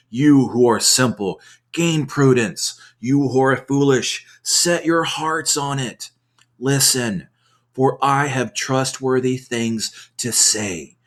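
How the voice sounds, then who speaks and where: A man reading scripture in an ASMR style, with measured, deliberate phrasing and pauses. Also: mid-range voice. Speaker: scripture reader. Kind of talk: soft-paced ASMR Bible reading.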